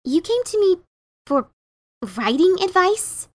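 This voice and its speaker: A woman's voice: extremely high pitch, sing-song, takes it slowly, heavily acted. A woman voicing a blunt, resentful pegasus pony mother in a fan dramatic reading, scolding and incredulous.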